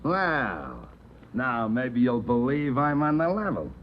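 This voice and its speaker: A man's voice: medium pitch, very animated, takes it slowly, slight background noise. An actor playing a shifty male criminal suspect with a wheedling, streetwise way of talking in a 1940s radio drama. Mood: defensive. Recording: dull old radio broadcast.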